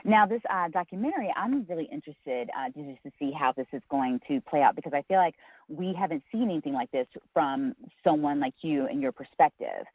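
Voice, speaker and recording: A woman's voice: low voice, expressive, fairly quick, slightly rough, dry recording. A woman hosting a podcast interview, asking long, winding questions with plenty of ums. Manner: engaged, asks long rambling questions. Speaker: podcast host. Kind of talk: interviewing a guest. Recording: dull, muffled podcast audio.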